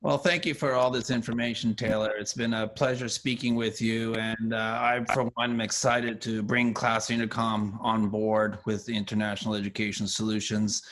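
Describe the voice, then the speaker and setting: A man interviewing conversationally, summarizing and asking questions in a wordy, halting way as he rephrases himself. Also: lowish voice, flat delivery, a bit bright.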